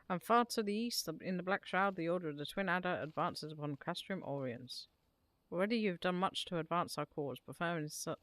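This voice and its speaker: A woman's voice: fairly low pitch, fairly bright tone, no room sound, close to an everyday voice. A woman reading out a hearty, bombastic fantasy army commander in a rousing, declamatory game-dialogue delivery. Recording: music behind the voice.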